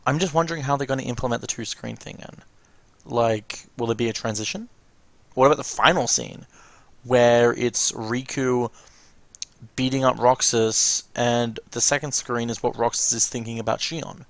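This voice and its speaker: A man's voice: medium pitch, fairly even intonation, moderate pace, gravelly, no room sound. A man delivers casual reaction commentary for a watch-along. He is chatty and fannish, talking informally as a commentator.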